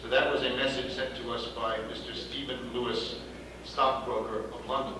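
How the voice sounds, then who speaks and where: A man reads a message aloud at a conference in a steady, formal tone at an average pitch. He sounds far from the microphone in a strongly echoing hall. Noise hums behind him. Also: moderate pace. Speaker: conference speaker reading a message. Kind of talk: reading a letter aloud. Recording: echoey hall, distant mic, noisy.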